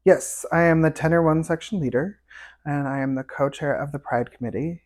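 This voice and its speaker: A man with a light, fairly high tenor voice talks casually as a podcast guest. He rambles through stories with plenty of fillers. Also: a little fast. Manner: chatty, storytelling with fillers and laughs. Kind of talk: casual interview chat.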